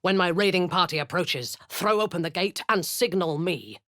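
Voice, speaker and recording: A woman playing a ruthless, cruel and seductive female dark elf war commander in a video game, with a breathy, husky voice and a commanding, menacing, deliberate manner. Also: fairly low pitch, expressive, no echo, studio quality. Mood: cold command.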